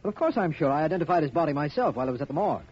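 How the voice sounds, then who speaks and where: An actor playing a confident, emphatic, formal district attorney in a 1950s radio drama. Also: male voice, on the high side, lively intonation, very fast, breathy edge. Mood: assured. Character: confident, brisk, self-assured district attorney. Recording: muffled, noisy old radio recording.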